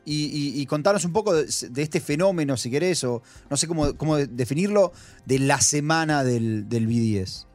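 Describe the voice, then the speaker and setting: Man hosting, higher-pitched. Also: lively intonation, moderate pace, a little mellow, soft background music. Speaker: radio host.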